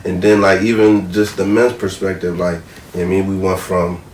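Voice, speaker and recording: Low-voiced American man, slangy and echoey. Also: level tone, brisk. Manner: slangy, profane, repetitive verbal tags. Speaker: podcast panelist. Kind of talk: casual opinionated chat. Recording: roomy, distant mic, faint noise.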